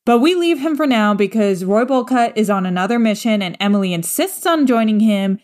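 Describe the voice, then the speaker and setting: A woman's voice: on the high side, lively intonation, fairly quick, a little mellow. A woman co-hosting a casual discussion, steadily summarizing episode events. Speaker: podcast co-host. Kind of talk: casual recap of a show.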